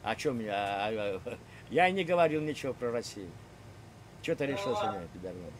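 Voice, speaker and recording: A man's voice: mid-range voice, animated, fairly quick, slight background noise. Older man lecturing on a video call. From Ukraine (Kharkiv). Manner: lecturing, provocative, many hesitations. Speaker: chat roulette host. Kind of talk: casual video chat with strangers. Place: home room. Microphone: webcam on video call.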